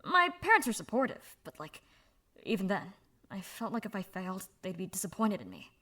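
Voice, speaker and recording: A woman voicing a young woman speaking softly and haltingly about her past, in a fan audio drama. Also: mid-range voice, sing-song. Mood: somber. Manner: soft, halting, confessional. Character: young woman, subdued, candid.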